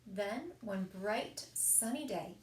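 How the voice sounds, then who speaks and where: A woman reading children's stories aloud as a story time reader, in an expressive, animated storytelling style. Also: mid-range voice, slow pace. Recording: echoey room.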